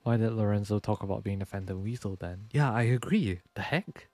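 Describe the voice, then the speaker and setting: Low-voiced man streaming a game. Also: brisk, darkish tone, no room sound. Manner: reactive, thinking aloud. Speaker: livestreamer, VTuber. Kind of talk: casual game stream commentary. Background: game music.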